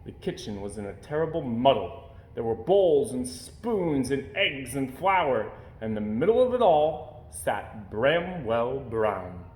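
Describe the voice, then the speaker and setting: A man reading a children's picture book aloud as an expressive storyteller. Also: medium pitch, slow. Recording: roomy, echoey indoor sound.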